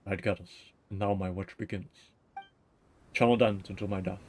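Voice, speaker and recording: A man's voice: low voice, steady tone, moderate pace, darkish tone, a little hiss or hum. A man giving casual, playful live commentary as he streams a game, joking as he goes. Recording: faint game music behind.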